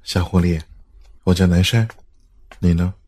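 A man playing a calm, indulgent, gently teasing rescuer in a Chinese audio drama, in an understated manner. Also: low-pitched, measured pace.

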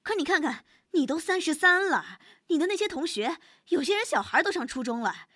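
A woman's voice: very high voice, sing-song, a little fast, a bit bright, no echo, studio quality, exaggerated voice. A woman acting a fussy, nagging older mother, chiding and motherly, in a Chinese audio drama.

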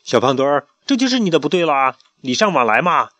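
A nosy farmyard neighbor coaxes and scolds in a loud, quick, slightly raised voice, as a father puts him on. The sound is close and dry. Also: fairly high pitch, very animated, dry recording, voice pushed up. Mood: coaxing. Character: curious, pushy farmyard neighbor.

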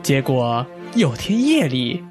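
An actor playing a shrewd, enthusiastic young antiques dealer in a Chinese audio drama, telling things with expressive flair. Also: male voice, mid-range voice, slow, over music. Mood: storytelling, intriguing. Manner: expressive storytelling.

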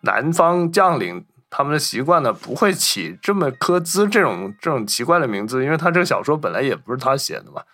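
A man's voice: mid-range voice, big pitch swings, brisk, few pauses, a bit bright. A man hosting a podcast, casually telling film anecdotes and acting out the remarks he reports. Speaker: podcast host.

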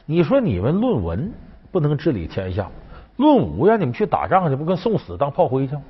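A wily ancient court official, played by a male storyteller, coaxing and griping in colloquial style. The voice is husky and swings widely in pitch, on a slightly dull recording. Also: wildly swooping pitch, fairly quick, heavily acted. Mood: scheming. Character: wily male Han court official, bluffing, aggrieved schemer.

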